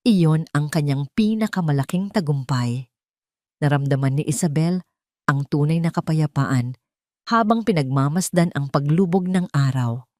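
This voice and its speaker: A woman's voice: low-pitched, mellow, no room sound, clean studio sound. A woman reading a scripted dramatic story as its narrator.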